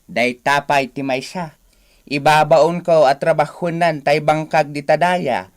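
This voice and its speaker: A man's voice: on the high side, fairly even intonation, a little slow, close to an everyday voice. An actor playing a weary, complaining elderly father in a radio drama, grumbling as he talks.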